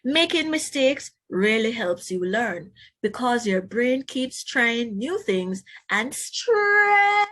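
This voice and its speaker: A woman's voice: on the high side, sing-song, takes it slowly, fairly bright tone. Woman reading aloud to children. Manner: instructive, addresses young listeners with questions. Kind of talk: children's read-aloud with explanatory asides.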